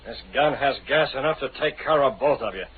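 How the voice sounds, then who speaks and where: An actor playing a curt, threatening masked vigilante who gives clipped orders in an old radio drama. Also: male voice, mid-range voice, lively intonation, fairly quick, lightly acted. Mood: commanding. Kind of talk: 1930s-40s radio drama acting. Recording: tinny, noisy old radio recording.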